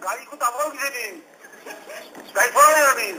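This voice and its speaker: A man's voice: very high-pitched, a little fast, a crowd in the background. A man with a Sylheti accent on an informal phone call, speaking in an exasperated, repetitive way. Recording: phone call with background noise.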